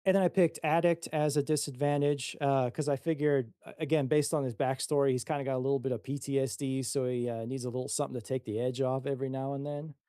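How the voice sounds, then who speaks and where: A man chatting casually as a player creating a character, tentative and halting in how he talks. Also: mid-range voice, fairly even intonation, mellow, clean tone, no room sound, clean studio sound. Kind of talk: casual chat.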